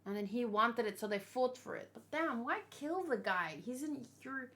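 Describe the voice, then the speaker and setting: A woman's voice: a little high, lively intonation, normal speed, bright, forceful tone. A woman chatting casually and unscripted, thinking aloud and asking questions as she hosts a reaction video. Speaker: manga reaction host.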